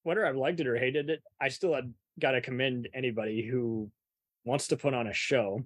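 A man's voice: mid-range voice, brisk, no echo. A man chatting casually as an interviewer, offering rambling, hedged opinions. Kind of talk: casual chat.

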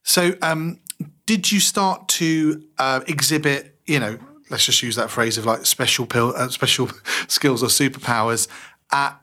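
A man's voice: mid-range voice, a little slow, bright, forceful tone. A man hosting a podcast, asking informal, conversational questions. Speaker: podcast host.

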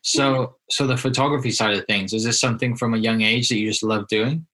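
Easygoing guy hosting a podcast, mid-pitched, lots of ums. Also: brisk. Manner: relaxed, filler-heavy questions. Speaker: podcast host. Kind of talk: casual interview hosting.

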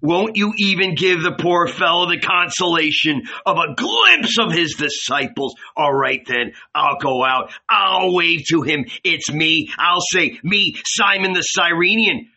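A man's voice: a little high, lively intonation, unhurried, few pauses, pushed and bright, dull audio, voice pushed up, exaggerated voice. A man voicing a coarse, enraged innkeeper in a storybook reading, blunt, swaggering and scornful as he bellows. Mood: defiant, scornful.

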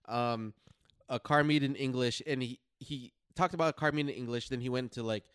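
A man co-hosting a podcast in casual, slangy talk, pausing and rephrasing as he explains his notes. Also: medium pitch, fairly bright tone, clean tone, no echo, clean studio sound. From Philippines or Filipino family. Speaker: podcast co-host. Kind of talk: casual chat, summarizing notes.

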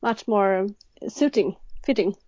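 A woman's voice: mid-range voice, takes it slowly, darkish tone, no echo. A woman in her thirties from Sweden, speaking English with a Swedish accent as an interview guest, hesitant and full of ums and restarts. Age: 30s. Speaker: guest illustrator. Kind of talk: casual interview answers. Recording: dry, dull lo-fi sound.

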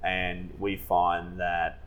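Deep voiced aussie guy, money adviser, stops and restarts a lot. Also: completely flat delivery, very slow, fairly bright tone. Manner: hesitant, many restarts and fillers. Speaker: financial adviser. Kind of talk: casual podcast chat.

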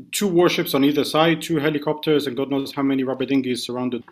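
A British man talks as a guest journalist on a podcast. He lays things out step by step in a measured way, with a mid-range voice. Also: medium pitch, fairly even intonation. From United Kingdom. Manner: measured, detailed recounting. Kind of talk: podcast interview.

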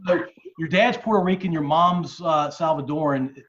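A man's voice: fairly high pitch, normal speed, a bit bright. A male podcast co-host asking chatty, joking interview questions. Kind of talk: casual interview. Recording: dull lo-fi sound with faint noise.

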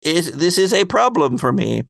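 A man voicing a secretive librarian woman, torn and in hiding, who speaks formally and in measured phrases in a tabletop game. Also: fairly high pitch, big pitch swings, creaky, fairly bright tone, dry recording, clean studio sound, pitched above the performer's own voice, heavily acted. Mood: torn, reflective.

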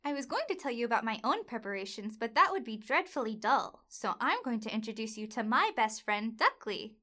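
A woman's voice: fairly high pitch, expressive, brisk, bright, forceful tone. A woman playing a chatty, naively optimistic bird in a comic audio drama, telling the story in a breezy, self-important way. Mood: cheerfully oblivious.

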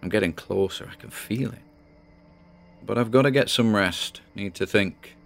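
A man's voice: on the low side, creaky, a bit bright. An actor playing a weary, spooked man obsessed with a mystery, rambling and muttering through his audio diary. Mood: puzzled, tired. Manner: rambling, muttering, halting, self-questioning. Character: obsessive, spooked, weary amateur male investigator recording audio logs. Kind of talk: audio diary drama monologue.

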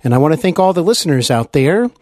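A man's voice: a little high, very animated, fast, darkish tone, dry recording, studio quality. A man hosting an interview podcast in an earnest, courteous and effusive manner. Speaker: podcast host.

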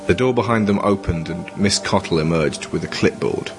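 A man's voice: low voice, normal speed, gravelly. A man reading scripted narration as the narrator of an audio drama. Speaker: narrator and project creator. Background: music.

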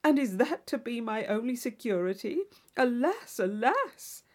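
A woman voicing a witty, urbane young gentleman in a classic novel audiobook, with a playful, mock-solemn, teasing manner. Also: fairly high pitch, big pitch swings, unhurried.

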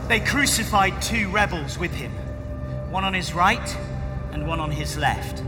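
High-pitched man reading scripture in a reverberant hall. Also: animated, measured pace. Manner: theatrical, declamatory. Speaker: scripture reader. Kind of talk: dramatic Bible reading. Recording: echoing hall with loud music. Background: loud music.

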